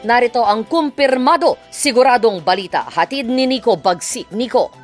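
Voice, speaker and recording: A woman's voice: on the high side, lively intonation, moderate pace. A woman with a Filipino accent reading scripted news as a radio anchor. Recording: music bed behind voice. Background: music.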